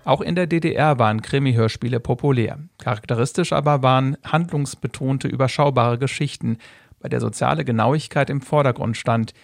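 A man narrating a scripted radio documentary in a measured, factual way. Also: mid-range voice, gravelly, soft background music.

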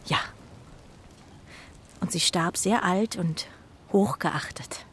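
A woman's voice: on the low side, slow, in a whisper. A woman playing an earnest, anxious carrier pigeon, proud of its breed, in a children's radio play. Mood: earnest, hushed. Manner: earnest, emotional, swings from breathy hush to cries.

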